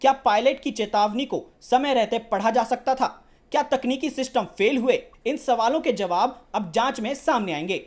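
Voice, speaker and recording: A woman's voice: a little high, moderate pace, a little mellow. A woman news presenter delivering scripted news narration.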